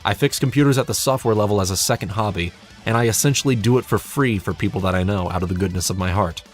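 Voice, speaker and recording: A man's voice: fairly low pitch, fast, soft background music. A young man giving a casual but scripted channel update in a wry, self-deprecating, sweary way. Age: young adult. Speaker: hobby narrator and audio play director giving a channel update.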